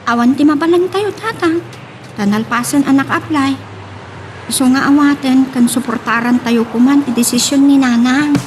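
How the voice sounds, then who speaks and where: Woman acting high-pitched pleading daughter, off-mic. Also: normal speed, lightly acted. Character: earnest, pleading young daughter of the family. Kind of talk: Ilocano radio-style audio drama. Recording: distant from the microphone.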